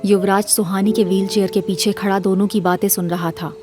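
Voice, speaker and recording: A woman's voice: medium pitch, level tone, fairly quick, music in the background. A woman reading scripted narration for an audio drama series.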